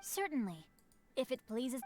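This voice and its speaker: A refined teenage boy from a fantasy game. His voice is fairly high, his wording ornate and old-fashioned, always courteous and a little poetic. Music sits softly behind him. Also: sing-song, measured pace. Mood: courteous, measured. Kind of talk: video game voice acting.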